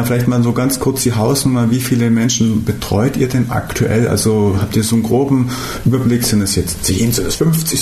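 Low, mellow-voiced male radio host. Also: reverberant, slight background noise. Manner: hesitant, with fillers and restarts. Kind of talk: radio talk show interview. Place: radio studio.

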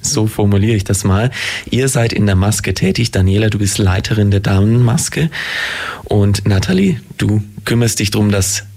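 Low-voiced male interviewer. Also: normal speed, gravelly, darkish tone. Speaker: interviewer, host. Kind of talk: casual interview.

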